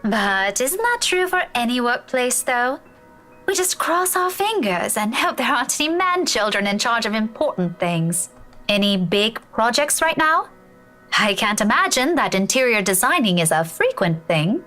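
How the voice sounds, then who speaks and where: A friendly young female schoolteacher in a visual novel. Her British accent carries rolled Scottish R's, and her slightly high voice moves up and down a lot as she teases and asks questions, with music behind her. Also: sing-song, normal speed, sharp tone. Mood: friendly, curious. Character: friendly young woman schoolteacher, playful and curious. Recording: music behind game dialogue.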